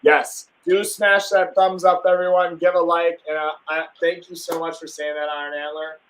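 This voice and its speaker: Excitable Wisconsin stream host, slightly high voice. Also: male voice, normal speed, low-quality recording. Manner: enthusiastic, rambling with fillers and restarts. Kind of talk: casual live stream chat.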